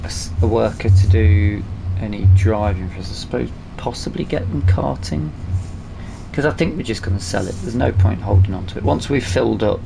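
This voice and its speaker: A man's voice: lowish voice, a little fast, gravelly, over music, poor audio. A man giving casual let's play commentary, hesitant and musing aloud to himself as he hosts a gaming video.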